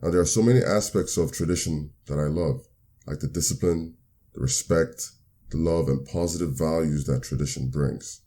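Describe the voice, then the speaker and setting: A man with a very deep voice hosts his own motivational podcast. His tone is mellow and warm. He speaks in an upbeat, earnest and measured way, slowing down when he repeats definitions. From Nigeria. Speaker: podcast host. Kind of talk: solo motivational podcast talk.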